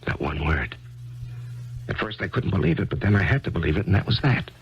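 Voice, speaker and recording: An actor playing a grieving, widowed author who narrates and talks in a reflective, halting way, in a 1970s radio drama. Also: male voice, deep voice, animated, breathy edge, full character voice. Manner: reflective, halting, stammers when eager. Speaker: narrator and lead character. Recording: dull lo-fi archival radio with faint music.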